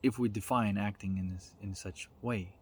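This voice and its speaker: Low-voiced man giving a reflective talk. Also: steady tone, husky. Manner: reflective, halting delivery with checking tags like "right?" and "you know". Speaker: speaker giving a self-development talk. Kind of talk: informal explanatory talk.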